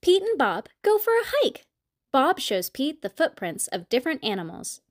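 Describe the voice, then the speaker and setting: High-voiced woman reading a children's story. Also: no room sound, clean studio sound. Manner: expressive, deliberate storytelling. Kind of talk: scripted read-aloud narration for young children.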